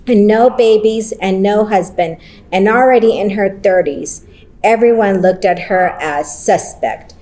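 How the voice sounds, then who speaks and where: A woman on a conference panel reading her own short creative story aloud, slow and deliberate, with Spanish phrases woven into her English. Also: medium pitch, darkish tone, poor audio. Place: conference roundtable session.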